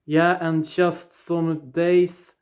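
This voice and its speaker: A man of twenty-five with a Swedish accent, talking straight to his viewers in a plain, deliberate way, often opening with "yeah.". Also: a little high, little pitch movement, a little mellow. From southern Sweden. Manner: deliberate, plain phrasing, starts points with "yeah". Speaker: vlogger. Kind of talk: casual personal recap to viewers.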